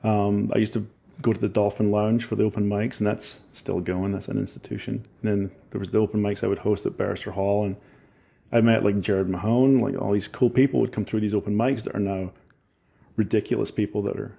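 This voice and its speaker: A man in his forties with a Scottish accent softened by years in Ohio, a musician chatting in an interview and telling rambling, enthusiastic stories with self-mocking laughs. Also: fairly low pitch, steady tone, fairly quick, creaky, slightly rough. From Scotland. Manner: rambling, enthusiastic storyteller who laughs at himself. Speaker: musician guest. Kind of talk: casual interview chat.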